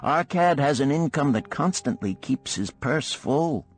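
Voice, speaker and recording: A man voicing an earnest, animated musician of ancient Babylon in a storybook parable, with archaic, oratorical phrasing. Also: medium pitch, measured pace, soft background music, voice pushed up. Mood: reproving.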